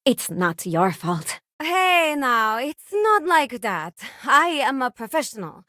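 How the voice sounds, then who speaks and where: A woman's voice: sits high, big pitch swings, slow, pushed and bright, no room sound, studio quality, heavily acted. An actress playing an earnest, principled young working-class spaceship crew woman in a video game, frank and conversational. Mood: frank, casual. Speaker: video game companion character. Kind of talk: video game voice acting, short character lines.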